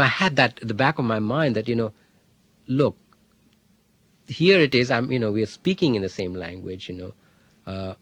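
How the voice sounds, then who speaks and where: A middle-aged man, a history professor telling an anecdote in an interview, thoughtful, with many fillers and pauses. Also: on the low side, slightly rough, dry recording. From India. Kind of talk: interview, reflective storytelling.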